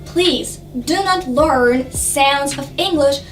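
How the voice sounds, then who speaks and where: High-voiced young woman with Russian accent. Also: takes it slowly, roomy sound, recorded from a distance, soft background music. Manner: earnest, explains step by step. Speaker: English learning vlogger. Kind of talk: scripted to-camera advice. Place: dim room with lamp and globe.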